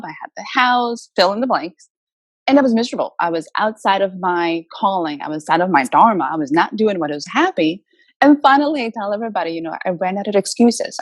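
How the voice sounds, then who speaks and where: A woman's voice: mid-range voice, no room sound. A woman from the Dominican Republic, a native Spanish speaker, giving emphatic, motivational answers in a conversational interview. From Dominican Republic, moved to Massachusetts as a child. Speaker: guest, author and motivational speaker.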